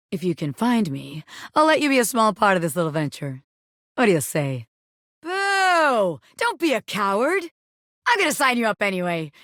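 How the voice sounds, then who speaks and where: Swaggering bobby pin crime boss, animated pitch. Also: female voice, no room sound, studio quality. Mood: indignant. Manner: swaggering, slangy, boastful, peppering talk with pet phrases. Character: cocky bobby pin, wannabe crime boss, brash and self-important. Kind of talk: video game character voice acting.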